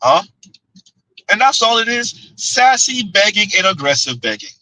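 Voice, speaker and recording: High, bright-voiced man riffing on stream. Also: big pitch swings, a little slow, no echo, rough recording. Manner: laughs often, talks back to chat. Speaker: livestream host. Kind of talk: casual commentary reading chat.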